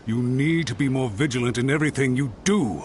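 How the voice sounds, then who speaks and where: An actor playing an anxious, overbearing clan retainer in an anime fantasy game, speaking in an agitated, insistent, suspicious way. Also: male voice, medium pitch, brisk, a little mellow, a little hiss or hum, natural voice.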